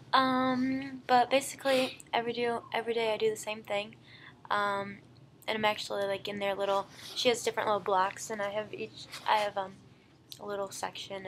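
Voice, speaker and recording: A young woman with a voice of middling height, chatting away about her work as a student teacher. She fills her talk with "like" and "um" and laughs easily, with a faint hiss of noise behind her. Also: medium pitch. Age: young adult. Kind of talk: casual chat.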